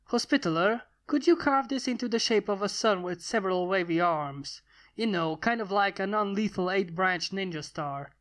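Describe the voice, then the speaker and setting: A story narrator voicing an eccentric, gruff male inventor who talks in a formal, pompous, wry manner. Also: medium pitch, moderate pace, fairly bright tone, natural voice. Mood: musing.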